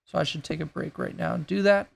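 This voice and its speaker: A man with a raspy voice that is going hoarse, thinking aloud in a laid-back way while he builds in a game. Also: high-pitched, fairly even intonation, fast talker, slight background noise. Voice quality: gravelly, raspy. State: losing his voice. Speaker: gaming YouTuber. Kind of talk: casual gameplay commentary.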